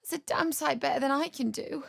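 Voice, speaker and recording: An actress voicing a frightened, grieving young wife in a fantasy video game, pleading and tearful, breaking into anguished cries. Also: female voice, high-pitched, brisk, creaky, no echo, studio quality. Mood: strained.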